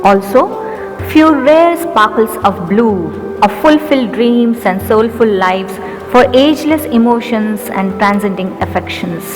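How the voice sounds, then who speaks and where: A woman's voice: a little high, lively intonation. Earnest Indian woman reciting poetry. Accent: Indian English. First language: Urdu. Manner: measured, earnest, slows down for verse lines. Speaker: poet and English literature teacher. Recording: long reverb with background music.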